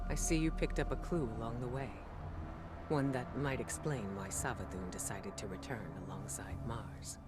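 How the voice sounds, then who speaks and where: An actor voicing a game character. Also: female voice, a bass voice.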